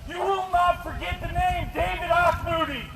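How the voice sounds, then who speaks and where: A man's voice: very high-pitched, steady tone, moderate pace, vocal fry. A man giving a confrontational, accusing protest speech through a bullhorn, hammering out his words outdoors. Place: outdoors at a street rally, traffic noise behind. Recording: noisy echoey outdoor street recording.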